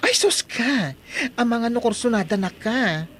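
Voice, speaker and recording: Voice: medium pitch, lively intonation, measured pace, a bit bright. An actor playing an outspoken, sassy but kind-hearted friend in an Ilocano audio drama, exclaiming dramatically with an Ilocano accent. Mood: teasing, excited.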